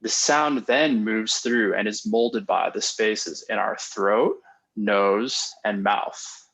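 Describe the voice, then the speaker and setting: Slow, creaky-voiced young man. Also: lowish voice, a bit bright. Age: young adult. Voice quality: creaky, gravelly. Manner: slow, deliberate reading. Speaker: student presenter. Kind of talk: scripted educational narration. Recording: dull lo-fi sound.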